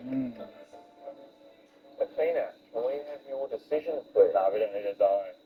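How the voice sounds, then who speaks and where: A man's voice: mid-range voice, normal speed, phone-quality sound, faint music behind. A man talking informally and earnestly, as in a frank relationship discussion.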